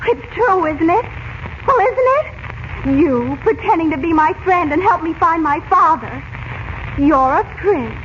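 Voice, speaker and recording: Very high-pitched headstrong girl, noisy old radio. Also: animated, unhurried, a bit bright, full character voice. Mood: hurt, angry. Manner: indignant, outspoken, dramatic. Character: wronged young American girl, headstrong and emotional. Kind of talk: 1940s radio acting. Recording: dull, noisy 1940s radio recording.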